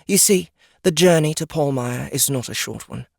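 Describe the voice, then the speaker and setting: A woman playing a stern goddess of nature in an audiobook, speaking formally and deliberately, with an imperious manner. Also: deep voice, creaky, no room sound, studio quality. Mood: stern, testing. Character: stern, regal goddess of nature, solemn and commanding. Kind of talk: scripted audiobook character acting.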